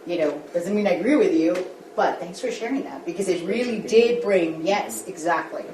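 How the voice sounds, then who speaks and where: A woman's voice: fairly low pitch, normal speed, a little mellow, slight background noise. A woman school board member speaking candidly, coaching colleagues through a group workshop in a meeting room. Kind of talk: workshop discussion. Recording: echoey room, distant mic.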